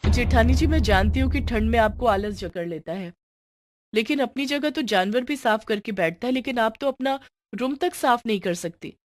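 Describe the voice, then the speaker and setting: A woman's voice: a little high, expressive, normal speed, dry recording, close to an everyday voice. A woman voicing an overworked young daughter-in-law in a cartoon family story, sarcastic and pointed. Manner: grumbling, taunting, pointed.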